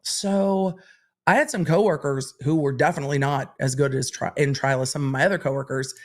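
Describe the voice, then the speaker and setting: A woman's voice: deep voice, fairly quick. A woman hosting a livestream, chatting candidly and reading out viewer questions. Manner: chatty and candid, answering questions in an even, explaining way. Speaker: legal commentary livestream host.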